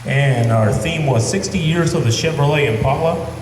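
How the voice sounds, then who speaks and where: A man's voice: on the high side, normal speed, darkish tone, a little hiss or hum. A man presenting car awards at a model contest, plain and matter-of-fact. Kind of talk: award announcement. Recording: echoey hall from far off.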